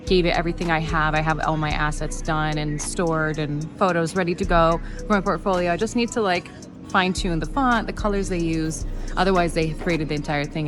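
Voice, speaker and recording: A young woman whose first language is Arabic, chatting enthusiastically in a casual, rambling vlog. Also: low-pitched. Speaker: vlogger. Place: airport before a flight. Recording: background music clearly heard.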